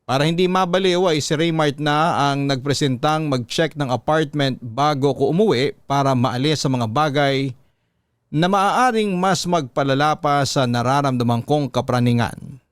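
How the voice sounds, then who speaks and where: A man narrating a first-person confession as scripted radio storytelling, measured and earnest, recorded close on a studio mic. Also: on the high side, clean tone, no echo, close to an everyday voice. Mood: earnest, reflective.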